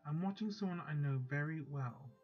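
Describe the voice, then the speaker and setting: Guy doing a let's play, kinda high voice, faint music in the background, slightly muffled mic. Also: brisk. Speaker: let's play host reading a visual novel. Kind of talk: casual intro, then reading game text aloud.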